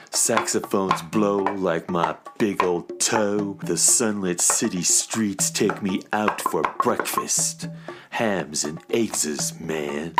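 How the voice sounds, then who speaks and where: Low laid-back hipster cat daddy for a kids radio play, drawly and slow, music behind. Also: male voice. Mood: poetic, dreamy. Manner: hip beatnik slang, languid delivery. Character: laid-back beatnik cat father, poetic and easygoing. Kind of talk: children's radio drama acting.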